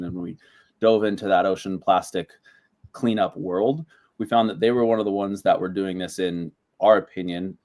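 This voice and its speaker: A man from Southern California earnestly explaining a partnership in a casual business pitch. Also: a little mellow. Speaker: partnership presenter.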